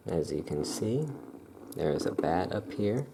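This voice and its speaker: A soft-spoken ASMR performer talking in a hushed, unhurried way with long pauses, playing a calm witch shopkeeper showing wares. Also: sits low, creaky, background noise.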